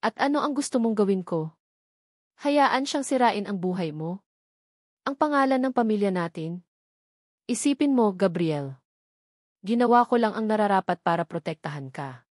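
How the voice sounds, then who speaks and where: A woman's voice: medium pitch, animated, moderate pace, pauses often, darkish tone, no room sound, studio quality, close to an everyday voice. A woman voicing a cold, controlling rich mother in a story, stern, challenging and persuasive. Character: cold, controlling rich mother defending her family name.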